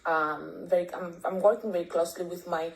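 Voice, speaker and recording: A woman's voice: lowish voice, steady tone, fairly quick, vocal fry, poor audio. A woman from Greece with a Greek accent, leaving a hesitant voice memo full of ums and restarts. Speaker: caller sending a voice memo. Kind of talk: recorded voice memo.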